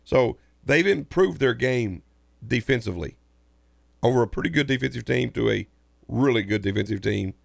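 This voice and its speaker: Hoarse man talking sports on radio. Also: mid-range voice, animated, moderate pace, no echo, studio quality. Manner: rambling, repeats himself. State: hoarse from a sinus infection. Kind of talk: casual sports talk. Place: radio studio. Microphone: studio microphone.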